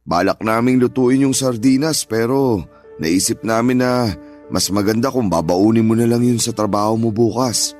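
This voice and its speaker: A man's voice: medium pitch, moderate pace, faint music behind, lightly acted. An actor playing an elderly Filipino grandfather, stubborn but joking and reassuring, in radio drama style. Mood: mild, welcoming. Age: older. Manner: gentle, reassuring, fatherly.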